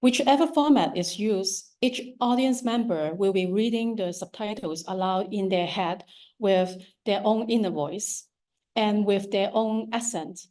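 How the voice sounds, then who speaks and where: A woman with a strong Cantonese accent, an artist giving a lecture about her work, often pausing with ums and restarting her sentences. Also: medium pitch, dark tone. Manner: hesitant, many ums and restarts. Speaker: visual artist giving a talk. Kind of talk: lecture on her art practice.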